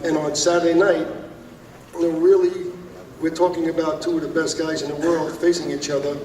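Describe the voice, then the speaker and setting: A man's voice: on the high side, darkish tone, a little hiss or hum. A man from the Bronx, a boxing promoter speaking upbeat with lots of fillers. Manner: upbeat, fillers like you know. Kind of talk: press conference remarks. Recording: echoey hall, far from mic.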